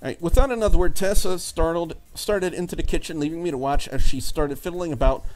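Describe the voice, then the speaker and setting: A man hosting a let's play, casually commenting and reading game text aloud in a halting way. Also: fairly high pitch, a little mellow, low-quality recording. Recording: music under the voice.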